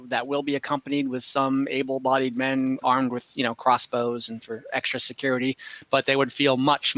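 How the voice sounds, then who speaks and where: Male game master, forward bright tone, hesitant as he improvises story narration; close, dry, somewhat lo-fi online recording. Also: mid-range voice, dry recording. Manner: halting, searching for words.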